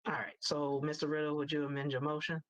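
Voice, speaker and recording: A man chairing a board meeting, reading motions and calling for votes in a halting, procedural way. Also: on the high side, flat delivery, fairly bright tone, no room sound.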